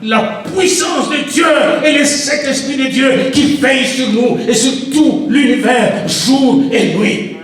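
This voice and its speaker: High-pitched preacher in an echoey hall. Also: male voice, slow, far from the mic. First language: an African language. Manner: preaching with rising shouted refrains. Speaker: pastor. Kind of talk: sermon. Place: church.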